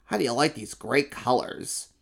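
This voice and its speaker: A man's voice: medium pitch, moderate pace, gravelly, a bit bright, slightly rough. Man doing a boastful monster emperor. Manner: boastful, showy, then sheepish. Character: vain, attention-hungry male monster emperor.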